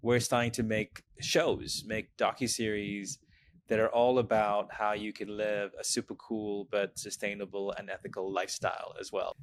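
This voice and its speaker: Man, 40s, from Sri Lanka, low voice, measured, guest at home on a call. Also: little pitch movement, slight background noise. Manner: measured, frequent "you know". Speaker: guest, brand consultant. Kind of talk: casual interview chat.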